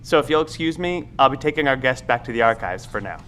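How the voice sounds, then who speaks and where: An actor playing an anxious, protective middle-aged scientist leading survivors, speaking in an urgent, earnest, naturalistic audio drama style. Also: male voice, medium pitch, animated, quick pace, fairly bright tone, a little hiss or hum, lightly acted. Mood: composed, firm.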